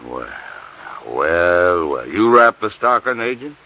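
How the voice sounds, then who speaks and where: An American man playing a big, gruff, sardonic police detective in a 1950s radio drama, drawling and repeating himself wearily. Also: low voice, big pitch swings, takes it slowly, full character voice. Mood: sardonic, needling. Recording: muffled vintage radio recording.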